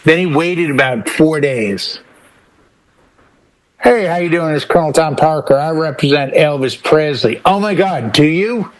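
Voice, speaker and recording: A man chatting casually as a panelist on a talk show, telling anecdotes in an animated way and acting out quoted dialogue. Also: on the high side, unhurried.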